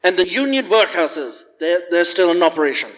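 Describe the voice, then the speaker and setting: A man's voice: high-pitched, big pitch swings, a little fast, a bit bright. A man playing a cold, curmudgeonly old miser in a live radio play, curt and scornful. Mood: sardonic. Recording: live in echoey church hall.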